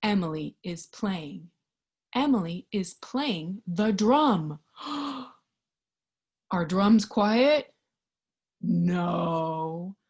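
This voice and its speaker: A woman reading a picture book aloud to young children in an expressive, child-directed storytime style. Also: lowish voice, extremely slow, pauses often, dull audio. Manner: expressive, child-directed, with questions and sound effects.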